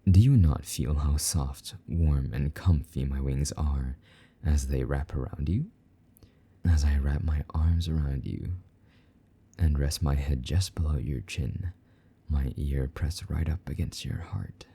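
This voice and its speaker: A man's voice: extremely low pitch, fairly even intonation, unhurried, dark tone, breathy edge, exaggerated voice. A man playing a possessive, teasing demon lover in an intimate roleplay monologue, taunting and doting by turns. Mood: tender, soothing.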